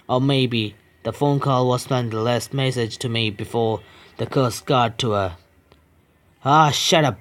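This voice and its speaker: A young man streaming a horror visual novel, reading the text aloud with stumbles and breaking off into swearing, joking asides. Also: medium pitch. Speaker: game streamer.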